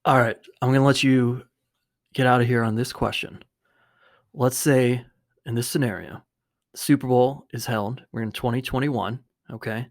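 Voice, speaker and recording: A man's voice: medium pitch, steady tone, moderate pace. A man hosting a talk show, interviewing casually and presenting to his viewers.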